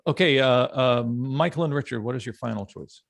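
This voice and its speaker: Guy hosting a podcast, gravelly voice, halting casual talk, real dry sound. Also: medium pitch, dry recording. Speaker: podcast host. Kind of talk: casual discussion.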